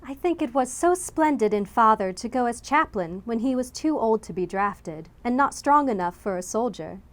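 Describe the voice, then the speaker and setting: An actress playing a proper, gentle eldest teenage sister in a storybook drama, earnest and ladylike. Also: on the high side, expressive, normal speed, lightly acted. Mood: admiring.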